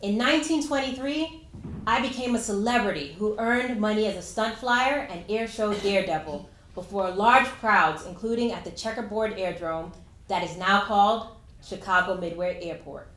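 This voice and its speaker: A woman's voice: mid-range voice, moderate pace, fairly bright tone, slight background noise, natural voice. Woman playing a determined 1920s aviatrix, echoey. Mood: proud, determined. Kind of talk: first-person historical monologue, read aloud. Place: indoor room at a live reading event. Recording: echoey room, live stage reading.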